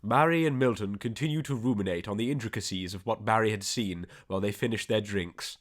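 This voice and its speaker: Deadpan male audiobook narrator, mid pitch. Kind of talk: scripted comic story narration.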